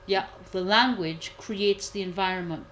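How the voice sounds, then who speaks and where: A woman commentator giving a measured analysis, repeating key points for emphasis. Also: mid-range voice, a bit bright, slight background noise, poor audio. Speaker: guest commentator. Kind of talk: analytical discussion.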